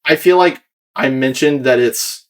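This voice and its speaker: A young American man talks casually as a podcast host. His delivery rambles as he thinks aloud and picks at his own work, with a middle-of-the-road male pitch. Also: unhurried. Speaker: podcast host and video creator.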